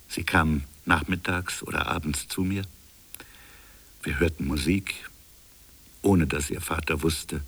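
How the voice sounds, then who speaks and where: A colonial official in a radio drama, with an extremely low voice. He is defiant yet reflective, and sets out his words slowly and solemnly, like literature told aloud.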